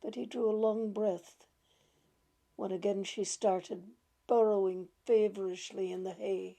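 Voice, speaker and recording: A woman gently reading a literary short story aloud in a measured, deliberate way. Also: mid-range voice, steady tone, creaky, poor audio. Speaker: reader of short stories.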